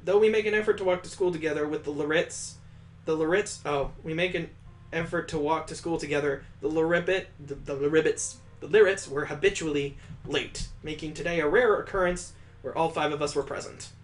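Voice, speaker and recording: A man's voice: a little high, a little fast. A man hosting a gaming video, narrating a visual novel with improvised asides as he reads aloud.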